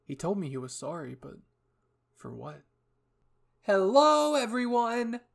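A man's voice: mid-range voice, moderate pace, vocal fry, mellow, breathy edge. A man reading a scripted story in the first person as its narrator. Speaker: fan fiction reader.

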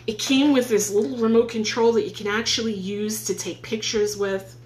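A woman's voice: on the high side, steady tone, normal speed, darkish tone, roomy sound, a little hiss or hum. A woman in her forties with a New York accent, chatting upbeat to viewers in a casual live stream from her home office, filmed on a phone on a stand. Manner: chatty, upbeat, thanks viewers as she goes. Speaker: live streamer giving tips. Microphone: phone on a stand at arm's length.

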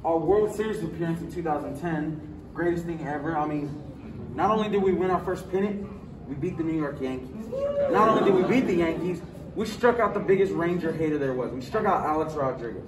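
Enthusiastic tour guide, echoey hall. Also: male voice, on the high side, a little fast, recorded from a distance. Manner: enthusiastic, addresses the group directly. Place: large indoor stadium concourse. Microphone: phone camera held by a visitor, a few steps away. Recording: echoey hall, voice from off the mic, noisy.

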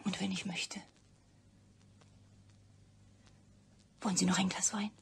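An actress playing a candid, bitter young female scientist in a radio crime drama, speaking deliberately and confidingly in a husky, breathy voice. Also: mid-range voice, flat delivery, a little hiss or hum, natural voice. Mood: soft, inviting.

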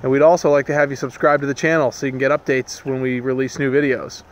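A man in his thirties hosting a casual homesteading vlog, talking in a relaxed, rambling way with many ums and restarts, recorded on a handheld camera outdoors and in a workshop. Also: mid-range voice, quick pace. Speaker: homesteading channel host. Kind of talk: casual hands-on vlog narration. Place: outdoors beside a pickup truck in snow, later a workshop.

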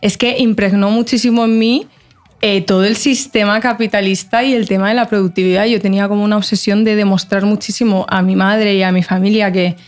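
A woman's voice: medium pitch, fairly even intonation, fairly quick. A woman in her thirties from Portugal, a guest chatting casually on a podcast, with many fillers and restarts, close on a desk mic in a studio. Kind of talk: casual chat. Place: podcast studio with plants and a backdrop.

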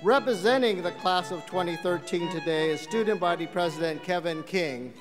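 A man with a high-pitched voice hosts a formal ceremony in an arena. Clear string music runs behind his words. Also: moderate pace. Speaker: ceremony host. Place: large indoor arena. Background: prominent string music.

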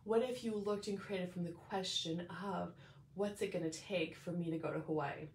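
A woman's voice: lowish voice, normal speed, slight background noise. Chatty thirties woman in an echoey room. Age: 30s. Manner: animated, reflective, speaks with hand gestures. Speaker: life coach and show host. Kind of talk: casual direct-to-camera chat. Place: small room indoors at home. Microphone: phone camera at arm's length.

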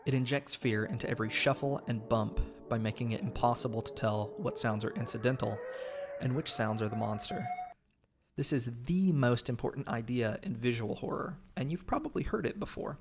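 A man narrates a scripted video essay with noticeable vocal fry. His delivery is measured and explanatory, laying out each point clearly at an ordinary male pitch. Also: music in the background.

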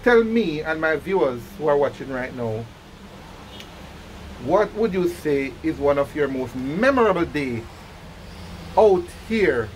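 A man's voice: a little high, very animated, unhurried, pauses often. A man with a Jamaican accent interviewing casually on location, enthusiastic and often repeating his words. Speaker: vlog host and interviewer. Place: small gift shop on a busy street. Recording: noisy handheld on-location recording, traffic hum.

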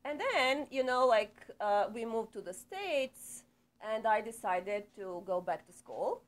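A middle-aged woman from Serbia with a Serbian accent, a psychotherapist guest speaking in a casual interview, unhurried and full of small hesitations, recorded in a small indoor studio. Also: fairly high pitch, big pitch swings. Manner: unhurried, frequent hesitations, light laughs.

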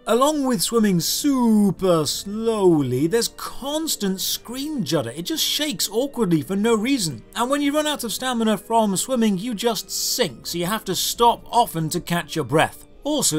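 A man narrating a scripted video game review in a sardonic, exasperated, emphatic way. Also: high-pitched.

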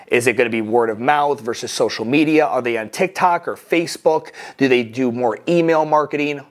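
A middle-aged man giving a recorded slide lesson as a course instructor, coaching in a plain, direct way through lists of tips. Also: mid-range voice. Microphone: webcam inset over slides.

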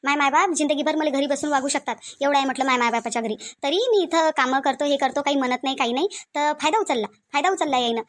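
A woman's voice: sits high, quick pace, few pauses, lightly acted. A woman with a Varhadi Marathi accent playing a stubborn, aggrieved young daughter-in-law in a cartoon family drama, complaining insistently and repeating herself. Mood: indignant.

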